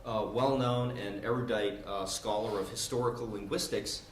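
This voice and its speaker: A man's voice: lowish voice, fairly even intonation, moderate pace, a little hiss or hum. Hesitant academic man in an echoey room. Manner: hesitant, many "uh" and "um" fillers. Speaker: academic panelist. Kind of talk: conference talk. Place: conference room.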